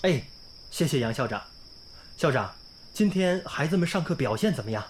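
An actor playing an earnest, idealistic young volunteer teacher in a radio drama, polite and sincere in manner. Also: male voice, on the high side, expressive, moderate pace, a little hiss or hum, lightly acted. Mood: polite, cheerful. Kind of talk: radio drama acting and narration. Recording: music bed under the voice.

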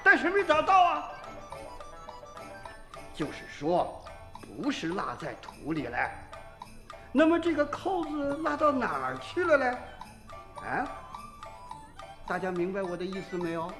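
Blustery village boss in a radio play, really high voice, swings all over, exasperated. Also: male voice, wildly swooping pitch, unhurried, long pauses, exaggerated voice. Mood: flustered, insistent. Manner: folksy, exasperated, roundabout. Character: blustering rural village head haranguing a meeting. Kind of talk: Chinese radio drama acting. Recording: roomy echo, faint music behind.

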